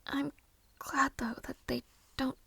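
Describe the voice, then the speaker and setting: Woman playing a high, breathy, weary young maid. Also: little pitch movement, no echo, full character voice. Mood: quietly hopeful. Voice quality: breathy, half-whispered. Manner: slow, soft, formal old-fashioned phrasing, musing to herself. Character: weary, mistreated young maid, a gentle bride-to-be. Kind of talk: audio roleplay monologue.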